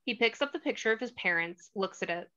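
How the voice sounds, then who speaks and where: A woman's voice: a little high, lively intonation, fast talker, sharp tone. A woman reading stage directions as the narrator of a screenplay table read. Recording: slightly dull lo-fi audio.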